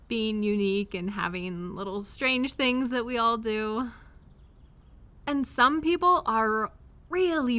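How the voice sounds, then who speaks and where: A woman playing an affectionate, reassuring friend or partner in an intimate comfort audio roleplay, speaking gently and directly to the listener. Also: on the high side. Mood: gentle, reassuring.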